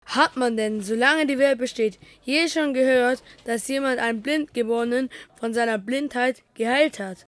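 Audio drama humble everyman, voiced by a woman: high register, earnest and emphatic, close dry sound. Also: normal speed, few pauses, dry recording, close to an everyday voice. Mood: defiant, incredulous. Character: earnest, plain-spoken man healed of blindness.

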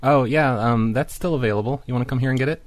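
A man's voice: medium pitch, quick pace, no room sound. A man hosting a prank phone call show, chatting casually with callers.

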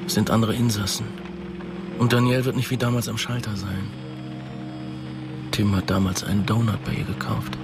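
A man's voice: fairly low pitch, little pitch movement, moderate pace, pauses often, natural voice. An actor playing a troubled, brooding policeman in a radio play, speaking his thoughts in terse fragments. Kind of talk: radio play acting, inner monologue. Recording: studio radio play under loud music.

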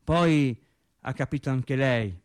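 An actor playing a naive working-class man in a radio drama monologue, telling his sad story in a colloquial, halting, wry way. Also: medium pitch, clean tone, dry recording, studio quality, close to an everyday voice. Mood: wry, bewildered. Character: naive working-class man recounting his cancer and death, resigned, tender.

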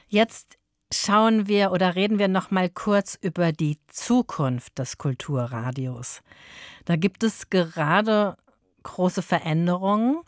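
A woman hosting a radio interview in a measured, composed manner, recorded in a studio. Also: on the low side, no room sound. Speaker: radio host.

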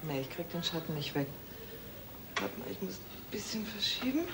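A woman's voice: lowish voice, sing-song, normal speed, heavy background noise. An actress playing a busy, friendly mother in a naturalistic German audio drama.

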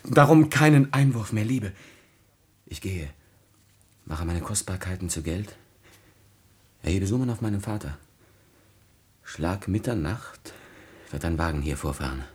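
An impetuous young aristocratic lover in a radio tragedy. The voice is breathy and husky with very lively swings of pitch, jealous and ardent, moving from hush to force. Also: male voice, unhurried, long pauses, full character voice. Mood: urgent, resolute. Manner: impassioned, swinging from hushed to forceful. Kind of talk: classic German stage tragedy radio acting.

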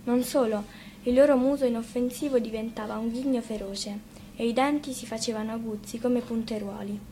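Lady reading a storybook out loud, expressive, kinda high voice, bit of noise behind. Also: normal speed. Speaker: fairy-tale reader. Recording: faint noise, live radio reading.

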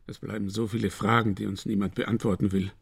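A man's voice: fairly low pitch, level tone, a little fast, creaky, slightly rough. An actor playing an earnest man who gives accusing, insistent testimony about a death in a radio drama. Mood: troubled.

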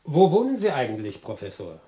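An actor playing a zealous Soviet squadron commander in a German radio drama, emphatic and politely insinuating. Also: male voice, mid-range voice, wildly swooping pitch, brisk, warm tone, far from the mic. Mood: suspicious, menacing. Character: suave, menacing Soviet fighter squadron commander, zealous loyalist.

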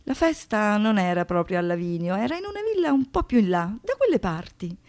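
A woman giving scripted dramatic narration as a monologue, in measured, short rhythmic phrases. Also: medium pitch, sing-song, a little mellow.

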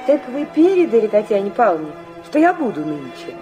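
An actress playing a poised, guarded society lady in a classic audio drama, speaking in a measured, candid manner. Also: mid-range voice, sing-song, music in the background, lightly acted. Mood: sharp, surprised.

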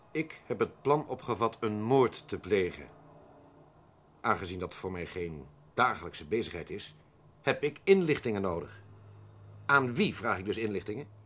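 Radio drama casting: pompous male accountant plotting coolly, husky, very animated intonation, stiffly formal diction. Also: fairly low pitch, a little slow, pauses often, heavily acted. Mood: explaining, self-assured. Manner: pompous, precise, theatrically formal, emphatic. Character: pompous, prickly accountant coolly proposing a perfect murder. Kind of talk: Dutch radio drama acting.

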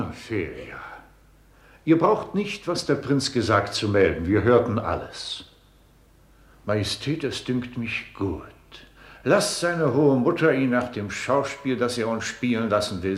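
Officious older court adviser, radio tragedy. Animated, self-important, fairly low voice, roomy sound. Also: male voice, unhurried. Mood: brisk, advising. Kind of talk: classical stage tragedy in German radio drama. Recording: roomy, reverberant studio sound.

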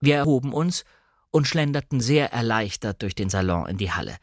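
A man narrating a literary audiobook in a measured, expressive way. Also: medium pitch, no room sound, clean studio sound.

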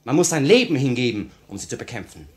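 A male actor as a young revolutionary in vintage German radio drama. His medium-pitched voice swings expressively as he speaks with candid, nervous idealism and earnest conviction. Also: sing-song, fast, darkish tone, natural voice. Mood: fervent, idealistic. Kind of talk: 1950 German radio play acting.

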